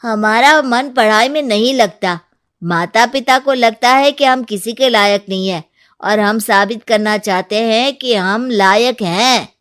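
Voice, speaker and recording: A woman's voice: on the high side, measured pace, fairly bright tone, clear, resonant tone. A woman voicing eager, cheeky young boys in a storybook tale. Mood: eager, earnest.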